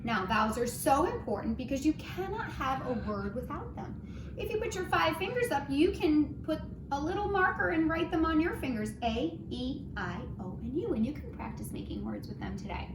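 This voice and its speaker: Brief: encouraging woman teacher, a bit high, leading a kids' lesson; distant mic in an echoey room with background noise. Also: expressive, moderate pace. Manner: gentle, encouraging, singsong. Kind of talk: children's phonics lesson. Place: home. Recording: roomy, distant, noisy home recording.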